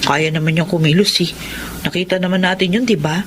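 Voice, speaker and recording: An actress playing an outspoken, supportive sister in a Filipino radio drama, frank and chatty, with a Filipino accent. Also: female voice, fairly low pitch, fairly even intonation, brisk, noisy background, lightly acted. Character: outspoken, supportive sister, protective of her brother.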